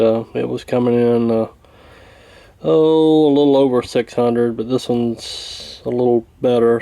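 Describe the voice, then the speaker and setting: A man giving a casual hands-on repair walkthrough in a folksy, hesitant, unhurried way. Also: medium pitch, warm tone. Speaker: radio repair hobbyist demonstrating.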